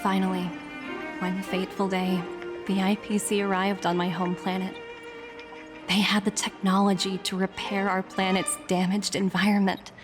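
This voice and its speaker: A woman voicing a composed corporate agent in a video game, reading a formal, earnest and persuasive letter in a measured way. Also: medium pitch, flat delivery, natural voice. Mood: measured, solemn. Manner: measured, formal, deliberate phrasing. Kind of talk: scripted game letter monologue. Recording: background music under the voice.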